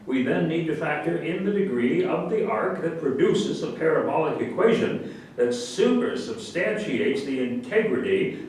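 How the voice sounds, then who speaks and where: Heard from far off in a very echoey room, a man playing a pompous, easily offended general holds forth in a staged play reading. His emphatic lecturing swings widely in pitch, with grand self-importance. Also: lightly acted. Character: pompous, indignant male general lecturing pseudo-scientific nonsense. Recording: distant echoey room with faint rumble.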